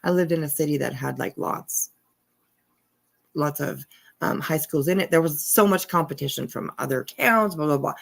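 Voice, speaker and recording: A woman's voice: low voice, moderate pace, creaky. A woman commenting casually and reading documents aloud, irreverent and giggly in manner. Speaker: livestream host.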